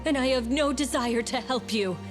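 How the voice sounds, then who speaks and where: A woman's voice: sits high, normal speed, close to an everyday voice. A woman playing a proud young witch in a fantasy game, speaking in a cold, formal, accusing way. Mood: bitter accusation. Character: bitter, proud young witch, estranged princess's daughter. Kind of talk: dramatic fantasy game voice acting. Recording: music behind. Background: music.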